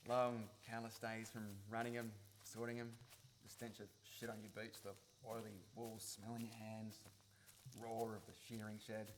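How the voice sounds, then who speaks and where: An actor playing an easygoing young man in a radio play, talking casually with halting explanations, recorded live. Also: fairly low pitch, completely flat delivery, close to an everyday voice, poor audio. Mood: wistful, wry. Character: easygoing young man, former farm boy, patient game explainer. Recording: live recording with clear background noise.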